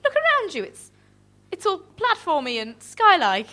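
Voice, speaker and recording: A woman's voice: very high voice, huge pitch swings, normal speed, pushed and bright, full character voice. A woman improvising a comedy radio play as a gushing, apologetic, flustered train passenger, hesitant but eager.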